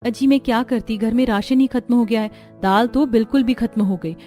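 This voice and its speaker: A woman voicing a worried, dutiful and soft-hearted poor housewife in a cartoon family drama. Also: fairly high pitch, normal speed, soft background music, pitched above the performer's own voice, natural voice. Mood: apologetic.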